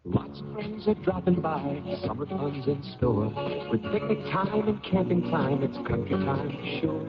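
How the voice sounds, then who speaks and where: High-voiced man singing an upbeat jingle. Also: animated, moderate pace. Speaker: jingle singer. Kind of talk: upbeat sung radio advertising jingle. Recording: muffled vintage radio advert. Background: loud music.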